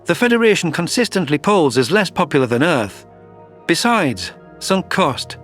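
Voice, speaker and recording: Sci-fi audio drama: man playing a level-headed male alien advisor, somewhat high for a man, faint music bed. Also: big pitch swings, moderate pace, a bit bright. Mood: pragmatic, reassuring. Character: male alien military advisor, calm, pragmatic, reassuring. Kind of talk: audio drama narration dialogue.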